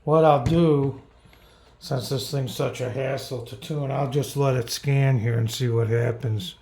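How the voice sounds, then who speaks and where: Man casually reviewing, slight creaky voice. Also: mid-range voice, fairly even intonation, measured pace, mellow, reverberant. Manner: rambling, thinking aloud, grumbling about drawbacks. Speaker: radio reviewer. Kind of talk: casual hands-on product review.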